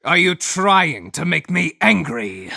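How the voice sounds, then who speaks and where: An actor playing a cold, arrogant video game villain who taunts his prey with deliberate, menacing contempt. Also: high voice, big pitch swings, gravelly, pushed and bright, dry recording, studio quality, heavily acted. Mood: angry menace.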